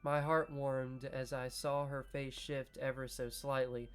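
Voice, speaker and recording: Slow-reading young man playing a game. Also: mid-range voice, level tone, clean tone, soft background music. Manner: slow, measured reading broken by joking asides. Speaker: gaming video creator. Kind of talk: reading a visual novel aloud with casual commentary.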